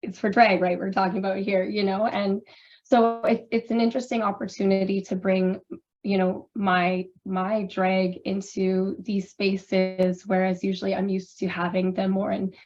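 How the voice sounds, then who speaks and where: A hoarse woman who has lost her voice, talking candidly in a rambling way full of fillers. Her pitch stays flat and even. The audio is muffled and lo-fi, as on a video call. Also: normal speed. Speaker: panelist, drag performer and researcher. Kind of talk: casual panel discussion.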